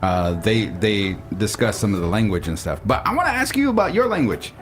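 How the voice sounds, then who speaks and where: Low, lively-voiced male radio host. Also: fairly quick, soft background music. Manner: friendly, short affirming replies, curious questions. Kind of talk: casual radio interview. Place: radio studio.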